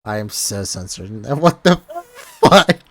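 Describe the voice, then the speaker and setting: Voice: mid-range voice, big pitch swings, a little slow, no echo. A young podcast host chatting over a voice call from their bedroom, swearing casually and laughing hard. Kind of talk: casual chat. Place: own bedroom. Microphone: computer mic over a voice call.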